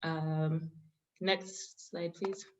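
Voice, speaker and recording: A woman's voice: lowish voice, little pitch movement, drawn-out words, a little mellow. A woman hosting an online youth webinar in a semi-formal, appreciative way with hesitant phrasing, speaking over a video call. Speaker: moderator of an online youth panel. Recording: muffled, lo-fi online call audio.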